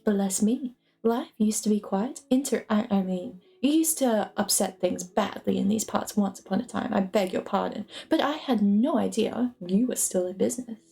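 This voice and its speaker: A woman's voice: medium pitch, moderate pace, vocal fry, few pauses, dark tone, natural voice. A woman voicing a fussy, polite male hobbit who exclaims and gets easily flustered, in a storybook reading. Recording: music playing behind the voice.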